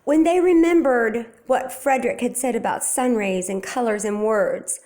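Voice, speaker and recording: Slightly high-voiced woman reading a storybook. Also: moderate pace, darkish tone. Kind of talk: children's picture book read-aloud.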